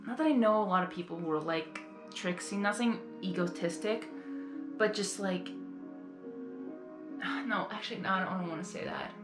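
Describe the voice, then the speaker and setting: A woman with a mid-pitched voice hosts a reaction video. She talks casually and exclaims, circling back over her phrases. The sound is roomy, and music plays faintly behind her. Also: normal speed, long pauses. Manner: rambling, exclamatory, repeats phrases. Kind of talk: casual emotional reaction commentary.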